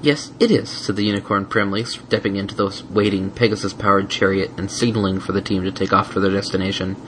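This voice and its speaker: A man's voice: low voice, monotone, quick pace, noisy background. A man reading a fan fiction story aloud as scripted narration.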